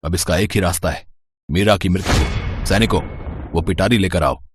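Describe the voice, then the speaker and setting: A man's voice: low voice, moderate pace, noisy background, natural voice. A man playing a cruel, scheming prince in a devotional story, imperious and formal in his speech. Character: stern, scheming villainous prince, commanding and cruel.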